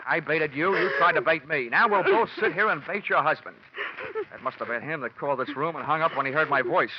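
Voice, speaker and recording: An actor playing a cocky, hot-tempered American insurance investigator in 1940s radio detective style, brash, sardonic and hard-boiled. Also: male voice, a little high, a little fast, natural voice. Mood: tough, taunting. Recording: muffled, noisy vintage radio recording.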